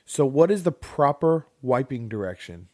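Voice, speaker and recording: A man's voice: mid-range voice, big pitch swings, normal speed, warm tone, no echo, clean studio sound. Teasing man co-hosting a podcast. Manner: teasing, blunt. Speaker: podcast co-host. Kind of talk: casual chat.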